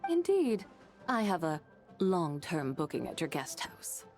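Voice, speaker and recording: A serene, dignified woman in a video game. Her voice is on the low side with lively movement, formal and composed, over faint music. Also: measured pace. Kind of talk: video game character dialogue.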